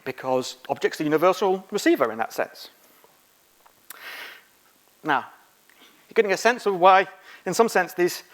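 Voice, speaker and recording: A middle-aged man speaking as a conference presenter, giving an informal, halting technical lecture. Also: fairly high pitch. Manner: informal, halting, quizzing the audience. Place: conference room, on stage.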